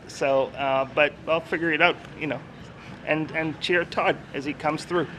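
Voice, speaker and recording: A man's voice: on the high side, fairly even intonation, normal speed, bright, forceful tone, a little hiss or hum, poor audio. A man from Kenya, a podcast guest chatting outdoors in a friendly, hesitant way with many fillers. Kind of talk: casual interview chat. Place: outdoors on campus steps.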